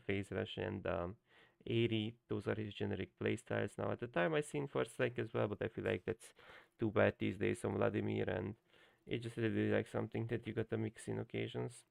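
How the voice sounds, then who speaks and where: A young man whose first language is Hungarian talks through a live stream, wandering and restarting with plenty of fillers. His voice has a gravelly, fry-edged texture. It is recorded dry and close with no room sound. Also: fairly low pitch, no room sound. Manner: rambling, many fillers and restarts. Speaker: game coach streamer. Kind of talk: casual live-stream commentary.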